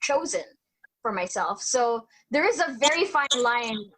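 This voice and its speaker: Slightly high woman, chatty with fillers. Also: animated, unhurried, fairly bright tone, poor audio. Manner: filler-heavy, lots of "you know, like". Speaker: panelist. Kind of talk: casual panel discussion.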